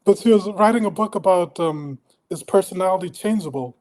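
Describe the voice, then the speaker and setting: A man who grew up in Denmark, a poet guest on a podcast, speaking thoughtfully in casual chat and often pausing to find his words. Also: sits high, darkish tone, low-quality recording. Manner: thoughtful, searching for words with frequent pauses.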